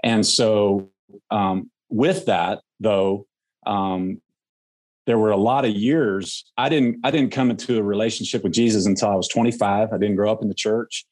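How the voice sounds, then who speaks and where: A man speaking as a guest on a podcast, earnest and measured as he reflects on his views. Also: lowish voice, fairly even intonation, a little mellow. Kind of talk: casual interview conversation.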